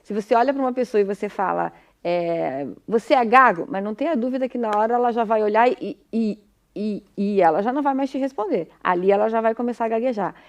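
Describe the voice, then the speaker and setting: A woman's voice: fairly high pitch, fast, a little mellow. A woman with a Brazilian Portuguese accent giving an informal, didactic talk as a speech therapist, often adding little check-in tag questions.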